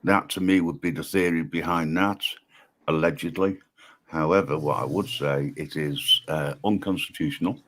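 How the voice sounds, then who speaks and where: A man's voice: steady tone, normal speed, fairly bright tone. A man, hosting a casual livestream and talking with many ums and restarts. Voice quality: deep voice. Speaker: livestream host.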